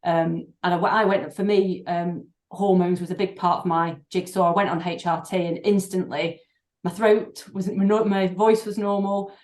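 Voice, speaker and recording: Woman, 50s, low pitch, halting, thoughtful, fillers, echoey room. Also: steady tone, a little mellow. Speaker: guest doctor and breathing therapist. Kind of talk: podcast interview.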